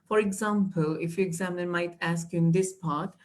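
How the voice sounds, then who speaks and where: A woman's voice: lowish voice, fairly even intonation, brisk. A young woman, teaching exam tips patiently with many fillers and restarts. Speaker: IELTS trainer. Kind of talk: live online teaching.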